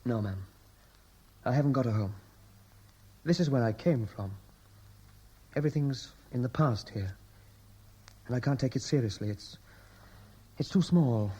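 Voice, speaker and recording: A man's voice: medium pitch, animated, a little slow, long pauses, dark tone, breathy edge. An actor playing a wry, restless Welsh emigrant son home from America, laconic and teasing, his Welsh accent tinged with American, in 1960s radio drama style. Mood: wry, detached.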